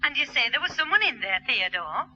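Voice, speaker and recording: A middle-aged mother, polite but inquisitive, in sixties radio sitcom style. Her voice is very sharp and bright. The old recording sounds dull. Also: on the high side, very animated, a little fast, full character voice. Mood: incredulous. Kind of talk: 1960s radio sitcom acting.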